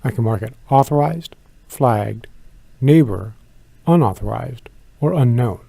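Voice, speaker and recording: Creaky-voiced man giving a product walkthrough. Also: mid-range voice, expressive, unhurried, mellow, no room sound. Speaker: product demonstrator. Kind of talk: scripted product tutorial narration.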